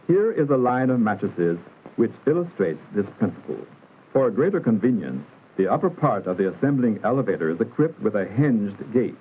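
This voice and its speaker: Lively male narrator on tinny vintage film soundtrack. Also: mid-range voice. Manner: formal, didactic, measured enunciation. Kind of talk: scripted educational narration, 1960s industrial film. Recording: muffled vintage film soundtrack, narrow band, hiss.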